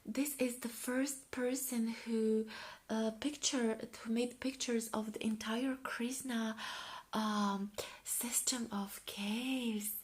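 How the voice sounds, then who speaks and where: A soft-spoken woman with a Romanian accent and a fairly high voice, talking in ASMR style. She goes very slowly, often drops into a whisper, and muses as she repeats short phrases. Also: fairly even intonation. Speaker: ASMR video creator reviewing a magazine.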